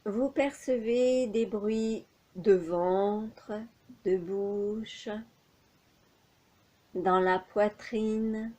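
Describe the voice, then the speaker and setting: Very slow, mellow woman guiding relaxation. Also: medium pitch. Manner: calm, measured, soothing instructions with long pauses. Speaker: yoga nidra instructor. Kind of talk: guided relaxation meditation.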